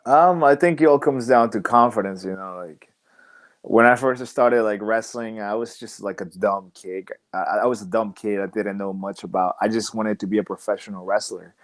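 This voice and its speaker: A man's voice: lowish voice, moderate pace. A young man, a professional wrestler guest on a podcast, talking casually and reflectively with many "like" and "you know" fillers. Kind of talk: casual interview chat.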